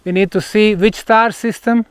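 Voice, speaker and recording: A man guiding an on-site tour outdoors, explaining in emphatic, deliberate short phrases with pauses. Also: high voice, steady tone, smooth, dry recording, clean studio sound. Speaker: tour guide and researcher.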